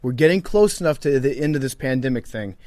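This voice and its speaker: A man talks as a podcast co-host in loose, joking conversation. His delivery is halting, with phrases repeated, and the sound is close and dry with an average pitch. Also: animated, a little mellow, no room sound. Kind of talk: casual comedic chat.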